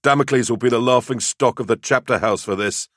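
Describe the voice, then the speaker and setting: Guy doing a blustering soldier in an audiobook, rowdy and outraged, swoopy raised pitch, bone dry sound. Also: animated, normal speed, a bit bright, dry recording. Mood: indignant. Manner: blustering, exclaiming. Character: rowdy indignant warrior brothers in a squad.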